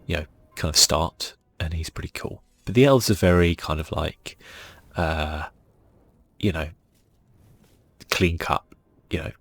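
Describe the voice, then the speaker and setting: A man with a very low, husky voice gives relaxed ASMR gaming commentary. He speaks softly and casually, hesitating as he goes, with a creaky edge. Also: unhurried, no room sound, faint music behind. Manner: soft-spoken, casual and hesitant. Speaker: gaming channel host.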